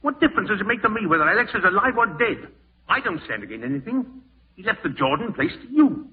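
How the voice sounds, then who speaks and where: A man's voice: sits high, very animated, quick pace. An actor playing a callous, scheming man in a 1940s radio horror drama, muttering to himself and snappish, with melodramatic delivery. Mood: irritable, evasive. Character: scheming, callous male relative plotting murder, panicking and irritable. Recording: scratchy, tinny old-time radio broadcast.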